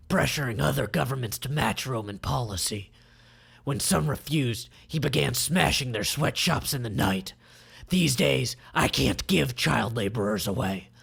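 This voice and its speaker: Man acting gravelly, angry villain tycoon. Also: mid-range voice, full character voice. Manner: forceful, menacing, dramatic. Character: angry, vengeful male villain, ex child-labor tycoon.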